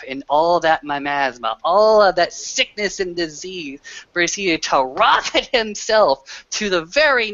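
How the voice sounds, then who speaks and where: A man's voice: a little high, sharp tone, no room sound, dull audio, full character voice. A man voicing a proud werewolf warrior, telling a battle saga in a theatrical, emphatic way with repeated words for effect. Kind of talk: dramatic in-character storytelling.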